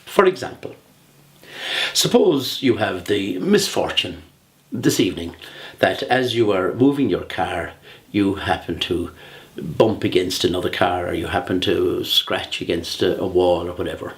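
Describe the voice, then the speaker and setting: An older Irish man speaks in a somewhat low register, presenting a humorous prepared speech. His delivery is theatrical and measured, with deliberate pauses between phrases. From Ireland. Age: 60s.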